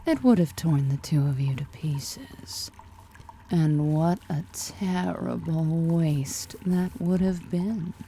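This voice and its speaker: A woman's voice: slow pace, warm tone, slight background noise. A woman playing a powerful, predatory drider ruler in an ASMR roleplay, half-whispering with teasing, flirtatious menace. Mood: grave, silky. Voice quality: breathy, half-whispered, creaky low voice.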